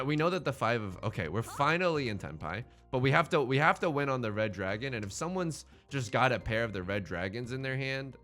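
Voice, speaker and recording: A man's voice: medium pitch, sharp tone. A man giving casual, chatty let's play commentary, thinking aloud in slangy terms as he goes.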